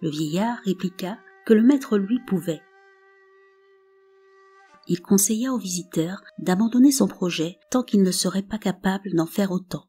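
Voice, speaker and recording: A woman narrating a folk tale in a calm, measured storybook reading with soft phrase endings. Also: medium pitch, breathy edge. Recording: narration over faint background music.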